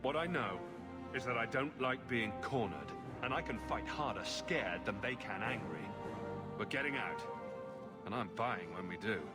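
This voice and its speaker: Man as lively, roguish fighter. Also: mid-range voice, moderate pace, loud music behind the voice, lightly acted. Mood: defiant rallying. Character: roguish, wry, defiant male fighter. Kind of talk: video game cutscene acting.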